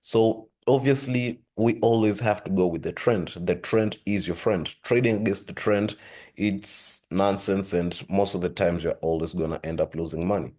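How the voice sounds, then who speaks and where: A man hosting a trading lesson, talking steadily through charts with a hoarse voice and frequent throat-clearing. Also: sits low, moderate pace. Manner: steady explanatory, repeats "with that being said". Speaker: forex trading channel host. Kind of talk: screen-share trading lesson.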